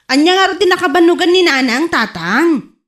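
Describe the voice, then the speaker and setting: A woman's voice: very high-pitched, expressive, moderate pace, fairly bright tone. A woman with an Ilocano accent playing an inquisitive young child in a comic radio drama. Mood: curious, puzzled.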